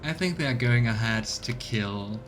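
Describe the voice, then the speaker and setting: A man's voice: medium pitch, expressive, moderate pace, a crowd in the background. A man giving casual let's play commentary and reading game text aloud. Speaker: streamer. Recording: clear background noise.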